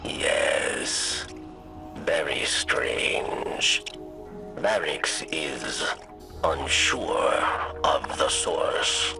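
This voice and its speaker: An actor playing a wary alien prison warden in a video game, speaking in halting, clipped phrases with odd pauses. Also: male voice, rumbling low, animated, vocal fry, music in the background, heavily acted. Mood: wary, uneasy. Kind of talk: video game character acting.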